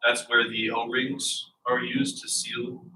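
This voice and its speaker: A man's voice: medium pitch, level tone, slow pace, pushed and bright. Young guy presenting, stuffy nose, echoey classroom, mic far off. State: congested. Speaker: propulsion team lead. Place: lecture classroom. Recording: echoey room, distant, noisy.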